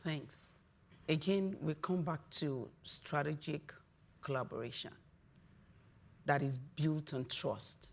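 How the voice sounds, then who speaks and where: A woman from Nigeria whose first language is Yoruba, speaking as a panelist and answering slowly, with pauses between phrases. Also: deep voice. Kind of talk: panel discussion.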